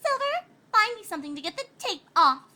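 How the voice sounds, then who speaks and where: A bossy, sneering filly for a cartoon pony story. The voice is very high, sharp and animated, full of haughty disdain, over some background noise. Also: female voice, a little fast, exaggerated voice.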